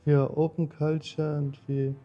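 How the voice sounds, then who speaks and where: A man from Germany speaking English as a second language, halting and plain in a casual chat. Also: on the high side, little pitch movement, lo-fi sound, faint music behind. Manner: halting, non-native phrasing with fillers. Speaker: interviewee, husband in a couple. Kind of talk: casual conversation.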